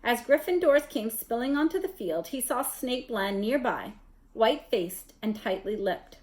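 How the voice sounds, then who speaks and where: A woman reading a children's novel aloud as narrator, in an expressive storytelling style. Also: on the high side, measured pace. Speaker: story reader.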